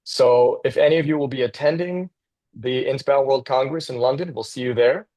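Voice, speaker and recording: A man's voice: mid-range voice, normal speed, darkish tone. A man from the United States, presenting as a guest architect over a video call in a casual, enthusiastic way with some fillers.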